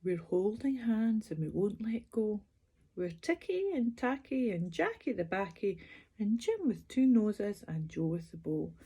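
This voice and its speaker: A woman's voice: mid-range voice, sing-song, a little slow, warm tone, slightly rough, full character voice. A woman voicing cheeky little paper dolls who chant a defiant taunt in a sing-song way. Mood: defiant, gleeful.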